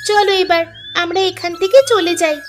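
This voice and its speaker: A woman's voice: very high voice, steady tone, moderate pace. A woman voicing a curious, plaintive little girl, the daughter in a cartoon story. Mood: anxious. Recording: music behind the voice.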